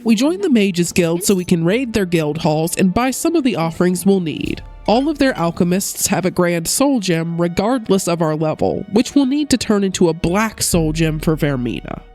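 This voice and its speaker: A woman's voice: fairly low pitch, normal speed, few pauses, faint music behind. Woman narrating gameplay, recovering from cold. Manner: steady, explanatory rule rundown. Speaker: gaming YouTuber.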